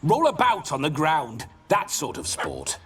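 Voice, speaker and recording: Rowdy ghost nobleman, wildly animated. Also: fairly high pitch, unhurried, distant mic, over music. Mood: gleeful teasing. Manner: brash, teasing, theatrical. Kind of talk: video game voice acting.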